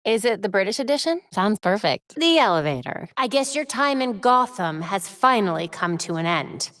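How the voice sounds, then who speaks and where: A woman's voice: mid-range voice, big pitch swings, fairly bright tone, dry recording. A woman playing a brainy, determined young vigilante heroine in a video game, wry, earnest and conversational. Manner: conversational, quick-witted, earnest, talking to herself and teammates.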